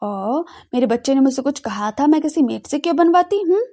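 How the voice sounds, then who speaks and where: An affectionate young sister-in-law from a family audio drama, played by a woman. Her voice is high yet mellow. She speaks expressively, with a teasing, caring touch. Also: expressive, fairly quick. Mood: teasing surprise. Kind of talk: audio drama character dialogue.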